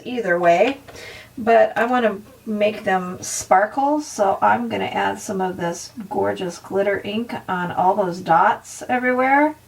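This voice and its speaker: A woman with a slightly hoarse voice, narrating a casual art tutorial softly and unhurriedly, with frequent ums and pauses. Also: on the high side, far from the mic, low-quality recording.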